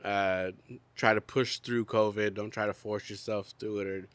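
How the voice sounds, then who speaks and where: Young man, low voice, congested and coughing, relaxed podcast co-host. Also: moderate pace, clear, resonant tone, no room sound. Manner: chatty, rambling with many fillers and laughs. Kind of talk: casual chat. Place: outdoors.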